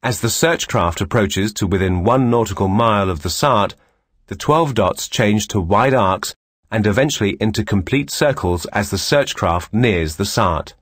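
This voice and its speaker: Male instructional narrator, lower register, measured formal delivery, very dry close studio sound. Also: fairly bright tone, dry recording.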